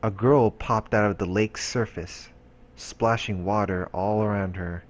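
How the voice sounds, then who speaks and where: Low-voiced man reading game narration. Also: steady tone, measured pace. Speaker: let's play host reading a visual novel aloud. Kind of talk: reading game narration aloud with casual asides. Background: game music.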